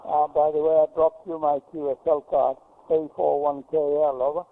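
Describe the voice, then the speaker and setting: A man's voice: fairly high pitch, level tone, normal speed. A middle-aged or older man chatting over a radio link as an amateur operator, rambling and hesitant, often repeating words. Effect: radio transmission. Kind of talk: casual radio contact chat. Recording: narrowband radio link with faint noise.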